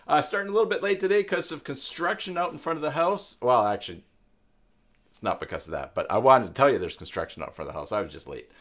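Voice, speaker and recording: A man in his fifties speaks as the host of a live tutorial stream. His voice sits at an average pitch for a man. He talks in a casual, conversational manner and tends to ramble off into asides as he explains things. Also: expressive, fast, a bit bright. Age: 50s.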